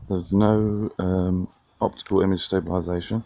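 A man in his thirties with a chesty, hoarse cold voice, casually reviewing a gadget with plenty of fillers and restarts. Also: low voice, a little hiss or hum. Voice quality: gravelly. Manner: relaxed, plain talk with frequent fillers and restarts. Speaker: tech reviewer.

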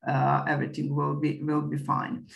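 A woman's voice: sits low, monotone, moderate pace, gravelly. A woman with a Serbian accent, a native Serbian speaker, opening her webinar talk in hesitant, stumbling English. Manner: hesitant, stumbling over words. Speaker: guest speaker.

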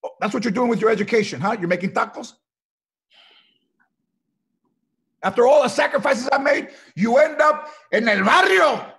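An indignant father laying on the guilt in a comedy read. His husky voice is pushed very high and loud. He scolds and mocks. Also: lively intonation, fast talker, exaggerated voice. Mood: scolding, mocking. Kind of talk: comedy table read acting.

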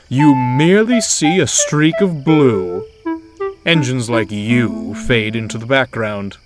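A man's voice: mid-range voice, pitched above the performer's own voice. A man voicing a vain, boastful male tank engine who speaks in a grandiose, condescending way. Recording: loud music score behind.

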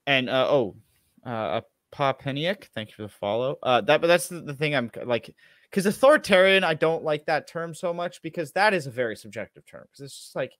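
A man's voice: a little high, lively intonation, a little fast, fairly bright tone, no room sound. Man hosting a livestream, dry close sound. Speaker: show co-host reading and commenting on an article. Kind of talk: casual political livestream chat with article read aloud. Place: indoor room at home, livestream video call.

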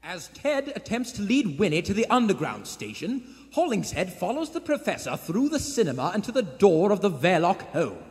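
Dramatic radio narrator played by a man: high, very animated, long theater reverb. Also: moderate pace. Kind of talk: scripted 1940s radio narration.

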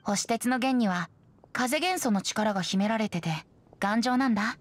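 A woman's voice: on the high side, moderate pace, soft background music, lightly acted. A woman voicing a playful, whimsical young male bard in a video game, with a light, boyish voice.

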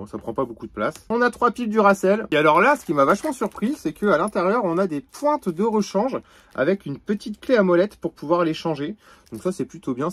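A man's voice: on the high side, no room sound. A man giving a casual, slangy product demonstration to his viewers, with a joking, informal way of talking. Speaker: camper van vlogger testing a product.

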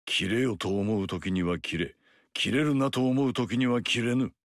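An actor playing a stoic, dignified male samurai general, speaking in a curt, formal, measured way. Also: mid-range voice, dry recording, clean studio sound, lightly acted. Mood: stern. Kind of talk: video game cutscene dialogue.

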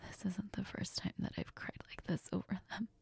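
A woman playing a heartbroken young woman in an intimate audio role-play, crying and confiding in a halting, breathy, often whispered voice. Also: darkish tone, close to an everyday voice. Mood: tearful, apologetic. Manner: halting, sniffly, tearful. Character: heartbroken, tearful, apologetic young woman confiding in best friend.

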